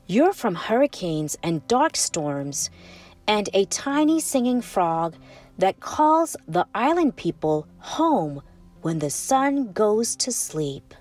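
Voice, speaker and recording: A woman narrating a children's picture book as a storytime host, with expressive, deliberate phrasing. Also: mid-range voice, distant mic. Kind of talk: scripted picture-book read-aloud. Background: music.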